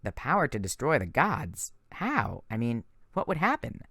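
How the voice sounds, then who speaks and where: A tough teenage girl fighter who mutters and growls, as a man puts her on for a storybook. Her voice sits somewhat above the narrator's and comes through bright and dry. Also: mid-range voice, huge pitch swings, normal speed, creaky, slightly rough, dry recording, heavily acted. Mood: intense.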